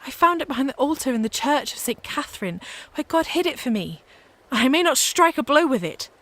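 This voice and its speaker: A woman's voice: sits high, expressive, fairly quick, a bit bright. A woman in a radio play acting a fearless, devout young peasant soldier, speaking in an earnest, impassioned, declamatory way. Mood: fervent.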